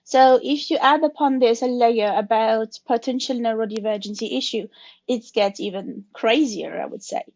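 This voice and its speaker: A woman's voice: a little high, moderate pace, a little mellow, dull audio. A woman in her forties whose first language is French, speaking English as an interview guest in a thoughtful, hesitant way with frequent restarts. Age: 40s. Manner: thoughtful, hesitant, with restarts and non-native phrasing. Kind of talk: conversational interview.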